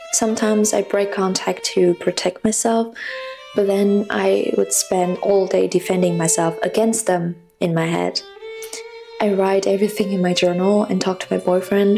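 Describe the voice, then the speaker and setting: Casting: woman, 20s, non-native English speaker, confessional scripted narration, medium pitch with some gravelly fry, faint music underneath. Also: darkish tone. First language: not English. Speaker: personal vlogger. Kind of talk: reflective scripted personal narration.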